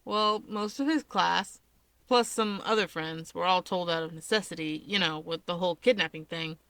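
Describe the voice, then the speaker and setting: A woman's voice: on the low side, normal speed, a bit bright, no room sound. A woman voicing an easygoing young man who explains things in a breezy, dismissive and cheerfully nonchalant way.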